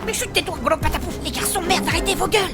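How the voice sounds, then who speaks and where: A woman plays a snappy lady adventurer in a comic fantasy audio drama. Her voice is very high, with music clearly playing behind her. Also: quick pace. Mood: hissing, annoyed.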